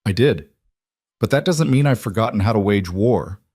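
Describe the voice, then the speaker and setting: A man plays a mafia boss in an audiobook, speaking in a low voice. He is cold and determined, measured yet intense, fiercely protective of his family. The sound is dry. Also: expressive, a little mellow, dry recording. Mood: cold, resolute. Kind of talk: audiobook character dialogue.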